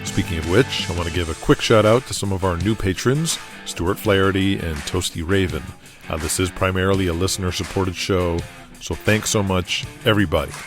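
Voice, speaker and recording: Brief: male narrator-host, somewhat low voice, scripted dramatic storytelling, guitar music bed. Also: fairly quick.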